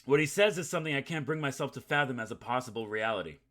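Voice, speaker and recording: A man's voice: medium pitch, animated, fast talker, fairly bright tone, natural voice. A man reading the inner monologue of an anxious, self-loathing schoolboy in a visual novel, gloomy and wordy. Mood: resigned. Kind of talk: visual novel read aloud.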